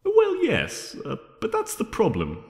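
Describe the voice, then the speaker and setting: A man's voice: high-pitched, wildly swooping pitch, unhurried, gravelly, a little mellow, pitched above the performer's own voice, heavily acted. Man playing a wildly swooping flippant chaos creature. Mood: hesitant, chatty. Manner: glib, hammy, playful. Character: flippant, theatrical male chaos creature, easily bored. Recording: long reverb.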